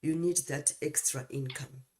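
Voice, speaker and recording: A middle-aged woman with a Zimbabwean accent co-hosting a live talk show, speaking deliberately in short phrases with pauses. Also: deep voice, fairly even intonation, dark tone, slight background noise. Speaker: co-host and mentor.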